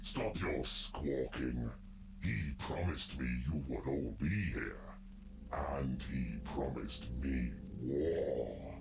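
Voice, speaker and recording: An actor playing a menacing, mocking warlike god in a fantasy game, speaking in a sneering, deliberate way. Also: male voice, a bass voice, background noise, heavily acted. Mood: menacing amusement. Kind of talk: scripted fantasy game dialogue.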